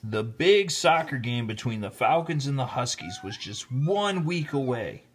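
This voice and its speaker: A man reading a children's story aloud in an expressive, deliberate storytelling manner, with casual asides. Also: mid-range voice, breathy edge. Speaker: storybook reader, teacher. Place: indoors, filming the book held in hand.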